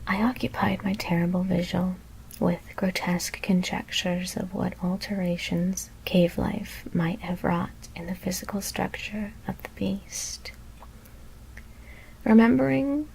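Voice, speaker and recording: A woman whispering a gothic horror story as ASMR narration, hushed and deliberate, with careful pauses. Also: mid-range voice, gravelly, a little hiss or hum, low-quality recording.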